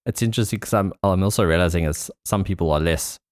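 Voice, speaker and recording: Low-voiced podcast host, male. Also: quick pace, no echo, studio quality. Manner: attentive, agreeable. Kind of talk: casual interview chat.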